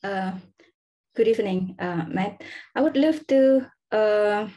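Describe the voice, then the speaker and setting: Teacher lady on a video call, slow mellow voice, polite, hunting for words. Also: medium pitch. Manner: polite, searching for words with "uh" and "how can I say". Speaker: lecturer asking a question. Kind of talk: formal audience question.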